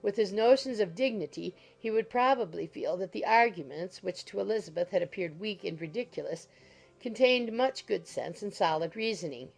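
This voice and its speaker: Female audiobook narrator, mid-pitched with noticeable creak, formal literary reading. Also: expressive, normal speed. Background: soft music.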